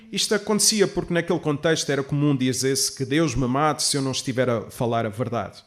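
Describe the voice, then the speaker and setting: A man preaching a sermon as a pastor, teaching in a measured, didactic way with emphatic stresses and rhetorical questions. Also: fairly high pitch. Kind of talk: sermon, expository preaching to a congregation.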